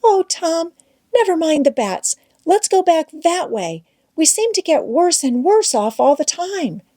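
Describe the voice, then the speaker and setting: A woman's voice: very high voice, big pitch swings, measured pace, warm tone, voice pushed up, exaggerated voice. A woman voicing a frightened young girl in a storybook, anxious and pleading. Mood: pleading fear. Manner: pleading, apprehensive. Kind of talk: children's novel read aloud.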